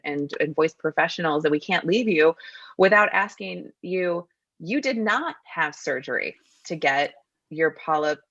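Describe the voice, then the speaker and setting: A woman's voice: low voice, fairly bright tone, no echo, muffled recording. A woman hosting a medical talk, asking questions in a friendly way with hesitant restarts and easy laughter. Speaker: host of medical grand rounds. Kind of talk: interview questions.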